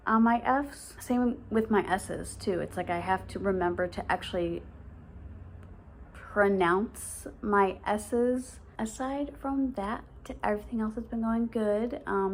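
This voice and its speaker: A woman's voice: medium pitch, darkish tone. A woman in her late twenties, a vlogger talking casually to camera with a slight lisp and a stutter, using lots of fillers. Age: late 20s. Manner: speaks with a stutter; chatty, filler-heavy, rambling.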